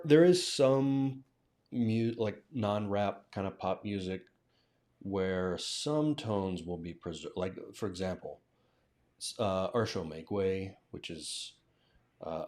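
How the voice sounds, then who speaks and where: A man with an American accent and a very low voice talks through language questions on a live stream. He speaks casually, working out his thoughts as he goes and adding little checking tags. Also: darkish tone. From southern United States. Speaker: language teacher hosting a livestream.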